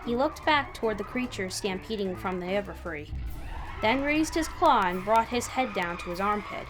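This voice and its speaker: A woman reading fan fiction aloud as an expressive third-person narrator with dramatic pauses. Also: medium pitch, poor audio. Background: background music.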